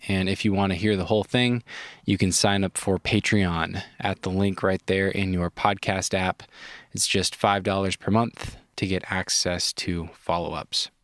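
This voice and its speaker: A man's voice: sits low, measured pace, no echo, studio quality. A man hosting a podcast, talking casually and laughing often, a little stuffy from a head cold. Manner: relaxed, laughs often, restarts sentences. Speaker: podcast host. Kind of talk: casual podcast chat and ad read. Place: inside a van.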